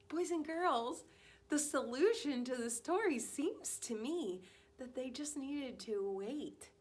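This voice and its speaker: A woman teaches kindergartners through a story read-aloud. Her voice sits a little high and moves at a very unhurried pace. She is expressive and puts questions straight to the children. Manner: expressive, questioning, addressing small children directly.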